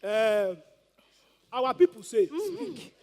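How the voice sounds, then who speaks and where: High-pitched pleading Nigerian villager. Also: male voice, very animated, extremely slow, darkish tone, long reverb tail, heavily acted. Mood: wheedling. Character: envious, pleading villager who hides behind proverbs. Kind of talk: theatrical stage comedy acting.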